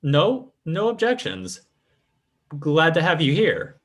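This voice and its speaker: A man's voice: fairly high pitch, wildly swooping pitch, slow, a bit bright, heavily acted. A man playing a smug, commanding fake spiritualist swindler in theatrical 1940s radio style. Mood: smooth, suspicious. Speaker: actor in an old-time radio drama revival.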